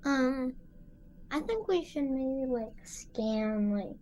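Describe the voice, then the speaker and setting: Female tabletop player, slightly high pitch, informal and tentative casual chat, light background noise. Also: animated, slow, dark tone.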